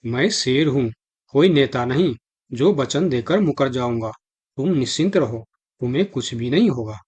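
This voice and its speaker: A man voicing a proud, hungry big cat in a children's cartoon, with a husky voice. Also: mid-range voice, animated, moderate pace, darkish tone. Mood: stern, assured. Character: proud commanding lion king and menacing hungry tiger. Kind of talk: Hindi children's cartoon dialogue.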